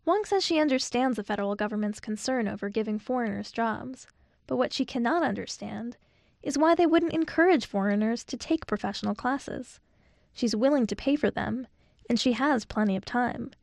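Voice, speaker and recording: A woman radio reporter narrating a scripted news feature in a measured, clear delivery. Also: a little high, a little mellow, dry recording.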